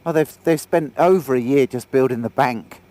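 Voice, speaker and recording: A man's voice: mid-range voice, normal speed, mellow. A man with a head cold, chatting and joking while riding a motorbike. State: congested with a cold. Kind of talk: casual chat while riding. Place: outdoors on a moving motorbike. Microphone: action camera on the bike.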